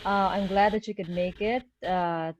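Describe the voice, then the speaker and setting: A woman's voice: medium pitch, steady tone, moderate pace, lo-fi sound, a little hiss or hum. A woman, a Tagalog speaker, giving a guest presentation over a video call, explaining things with frequent okays and ums. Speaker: guest speaker and Spanish teacher. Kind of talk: online presentation.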